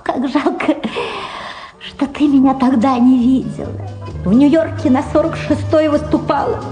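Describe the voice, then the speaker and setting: An actress playing a gushing, vulgar, middle-aged former showgirl in a radio drama, rambling and exclaiming in colloquial speech. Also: high voice. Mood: wistful, boastful. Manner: effusive, rambling, colloquial, exclaiming and repeating herself. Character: gushing, vulgar, faded American showgirl reunited with her daughter. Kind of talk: Soviet radio drama acting.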